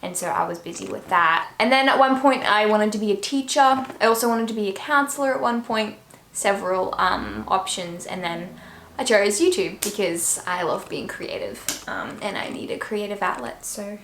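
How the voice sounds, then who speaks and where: A woman's voice: mid-range voice, animated, normal speed, fairly bright tone. A young Australian woman with an Australian accent answering questions in a casual vlog, chatty and full of fillers and trailing asides. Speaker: YouTuber. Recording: echoey room with faint noise.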